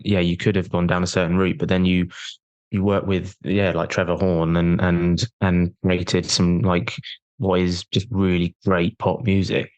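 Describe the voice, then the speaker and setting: A man interviewing as a podcast host in a conversational, halting and tentative way, appreciative of his guest. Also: low-pitched, no echo, lo-fi sound.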